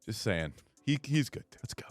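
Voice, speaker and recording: A man's voice: lowish voice, very animated, moderate pace, dry recording. A man co-hosting a music reaction show, bantering playfully with short, joking interjections. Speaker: music producer and co-host. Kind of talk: casual reaction chat.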